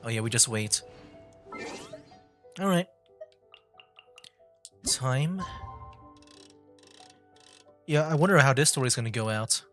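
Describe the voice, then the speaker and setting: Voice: medium pitch, animated, takes it slowly, long pauses, faint music behind. A streamer giving laid-back, musing commentary during a casual gameplay livestream.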